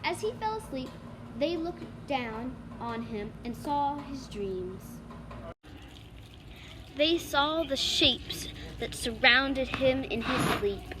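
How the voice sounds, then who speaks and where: A woman's voice: high voice, expressive, slow pace. A female student reading a children's storybook aloud, with noise behind the voice. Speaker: student reader. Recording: clearly noisy background.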